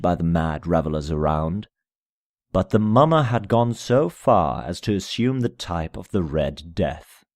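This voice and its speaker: A man narrating a gothic tale as a dramatic reading, in a solemn, measured manner with deliberate phrasing. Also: low voice, a little mellow, dry recording, studio quality. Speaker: amateur audiobook narrator.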